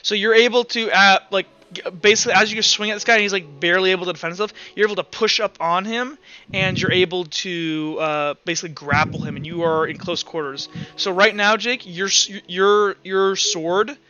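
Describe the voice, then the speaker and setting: A man running a tabletop roleplay session as game master over a voice call, halting and thinking aloud. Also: sits high, fairly even intonation, pushed and bright, dull audio. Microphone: online voice call.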